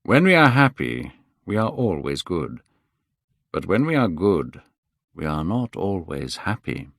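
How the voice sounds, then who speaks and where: A man voicing a worldly, cynical aristocrat with a slow, musical voice in a storybook reading. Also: sits low, very animated, fairly bright tone, dry recording, clean studio sound, full character voice.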